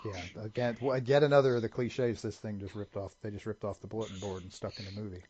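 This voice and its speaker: A man's voice: on the low side, fairly even intonation, quick pace, mellow, dry recording. Rambling man on a lo-fi podcast. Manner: rambling, repeats words when starting sentences. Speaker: podcast co-host. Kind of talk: casual movie discussion. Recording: dull lo-fi podcast audio.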